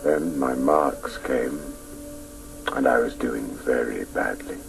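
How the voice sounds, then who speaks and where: An actor playing a grown man wistfully looking back on his boyhood grief, narrating a radio play in a slow, gravelly voice. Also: over music, heavily acted. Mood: wistful, sorrowful. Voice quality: very deep, gravelly. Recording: noisy radio play tape, distant voice.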